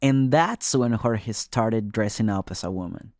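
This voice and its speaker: A man's voice: fairly low pitch, measured pace, a little mellow, no room sound, clean studio sound. A man hosting and reporting a podcast, reading scripted first-person narration. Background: music.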